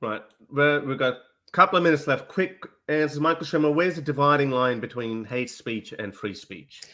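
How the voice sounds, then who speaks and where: A man from Pakistan with a medium-pitched voice, speaking as an interview host. He is conversational and somewhat wordy as he sets up his questions for a guest. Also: moderate pace, bright, forceful tone.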